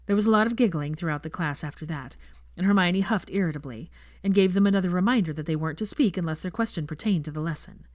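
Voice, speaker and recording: Low-voiced woman reading a story, dry sound. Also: fast talker, a little mellow, no room sound. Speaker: audiobook narrator. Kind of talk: scripted fan fiction narration.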